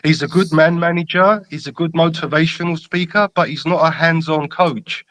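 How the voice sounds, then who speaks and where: A man with a North London accent tinged with Greek Cypriot and slight Australian, giving emphatic, deliberate opinions as a caller in casual football chat. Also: on the high side, fairly even intonation, a bit bright, no echo. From London, England. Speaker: caller to a football fan show.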